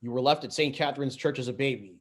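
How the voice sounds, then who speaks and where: Persuasive creepy stranger for a script reading, played by a man, mid-pitched, measured, insistent. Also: natural voice.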